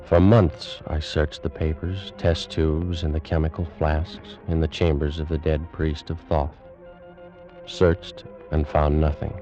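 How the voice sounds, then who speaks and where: An ancient priest burdened with immortality, his voice very low and grave. He speaks slowly and theatrically in classic radio drama manner, brooding and anguished, with faint music behind him. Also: dark tone, lo-fi sound. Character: anguished ancient Egyptian priest, immortal man weary of life. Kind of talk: old-time radio drama acting.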